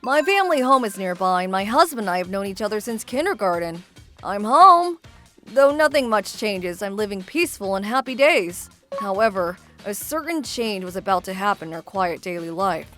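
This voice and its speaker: Woman playing a lively, friendly young wife. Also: mid-range voice, moderate pace, lightly acted. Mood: storytelling. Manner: expressive storytelling. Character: friendly, ordinary young wife telling her own story. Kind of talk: scripted manga dub narration. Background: background music.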